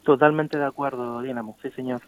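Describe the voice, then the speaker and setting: A man's voice: mid-range voice, steady tone, brisk, dry recording, poor audio. A man talking as a guest on a radio interview over the phone, thoughtful, with frequent tag questions. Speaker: guest graffiti artist.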